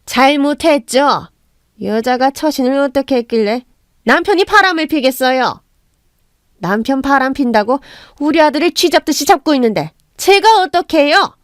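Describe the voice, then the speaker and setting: A shrieking, scornful older mother-in-law who sneers and hurls insults. Her voice rides high and sharp, put on by a woman. The sound is close and dry. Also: animated, normal speed, no echo.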